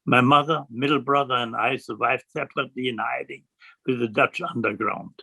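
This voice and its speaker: Guy in his 90s from germany, lots of fry, mid pitch, reading his life story. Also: fairly even intonation, brisk, bright, forceful tone, poor audio. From Berlin, Germany. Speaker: Holocaust survivor giving testimony. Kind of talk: scripted personal narrative.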